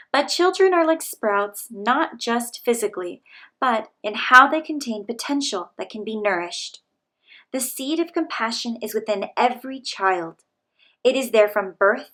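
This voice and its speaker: A woman reading a picture book aloud to children, in a gentle, measured way. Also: fairly high pitch.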